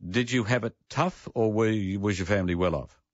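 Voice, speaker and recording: A man hosting a radio talk show, interviewing in a probing, conversational way and deliberately repeating phrases to press his point. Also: fairly low pitch, no echo. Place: radio studio. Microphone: close studio microphone. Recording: slightly dull radio studio audio.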